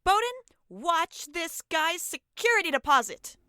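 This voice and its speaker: A woman's voice: extremely high pitch, sing-song, a little slow, bright, forceful tone, full character voice. A woman playing an eager, obedient agent who attempts tough quips in a gung-ho, punchy way, in an audio comedy drama.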